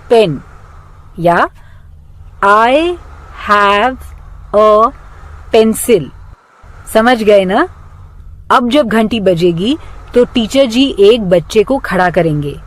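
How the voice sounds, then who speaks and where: A woman giving a scripted English lesson to Hindi-speaking children, with deliberate, spaced-out phrases meant for repeating. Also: medium pitch, poor audio.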